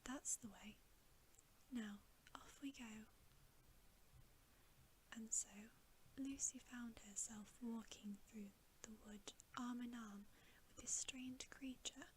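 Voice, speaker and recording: A woman reading a bedtime story aloud as ASMR in a soft, breathy, unhurried whisper, close to the microphone. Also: a little high, fairly even intonation, slight background noise, rough recording.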